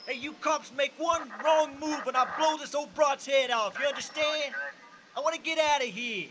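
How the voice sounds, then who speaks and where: A man playing a high-strung hostage-taker in a radio drama, snarling threats and blustering. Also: normal speed, background noise. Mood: threatening. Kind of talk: old-time radio drama acting.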